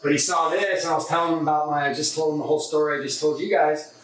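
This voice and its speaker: A man's voice: on the high side, steady tone, quick pace, a bit bright. A middle-aged man from San Diego, a surfboard shaper, talking casually and rambling with fillers as he reviews a board in his workshop. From San Diego, California. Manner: loose and rambling, many fillers and restarts. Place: surfboard workshop room, indoors. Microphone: camera mic from a few meters away. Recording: echoey room, distant camera mic, faint noise.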